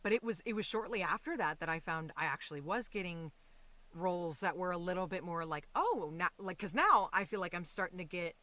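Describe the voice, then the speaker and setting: Woman, interview guest, casual conversational style, animated delivery, rambling and reflective. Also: on the low side, brisk, dry recording.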